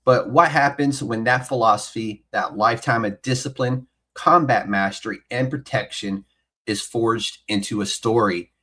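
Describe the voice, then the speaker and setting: A man's voice: mid-range voice, bright, forceful tone. A man hosting a podcast, who reads a dramatic scripted intro and then chats casually with his guest. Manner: dramatic, measured delivery in the intro. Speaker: podcast host.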